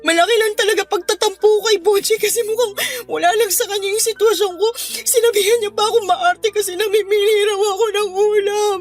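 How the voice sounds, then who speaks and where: A young woman playing an exhausted, frustrated new mother in an audio drama, speaking in a weary, emotional, halting way. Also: very high-pitched, fairly even intonation, faint music behind. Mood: tearful, upset.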